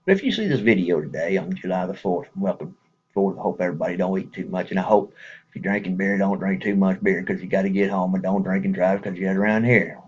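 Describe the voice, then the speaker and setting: Texan guy rambling folksy to the camera, real low husky voice, muffled sound. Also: fast. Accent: Texas. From Texas. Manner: folksy, rambling, chuckles between thoughts. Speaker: man speaking to supporters. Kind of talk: casual appeal to viewers.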